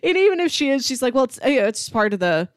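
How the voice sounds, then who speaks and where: A woman's voice: sits high, very animated, racing, no room sound, studio quality. A woman co-hosting a podcast, talking casually about films and sometimes chuckling through her words. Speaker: podcast co-host debating films. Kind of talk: casual film discussion.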